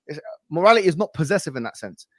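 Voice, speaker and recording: A man's voice: fairly high pitch, big pitch swings, racing, no echo, clean studio sound. A man arguing in a heated debate, combative and insistent, often repeating himself and cutting in. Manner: insistent, combative, repetitive, interrupting.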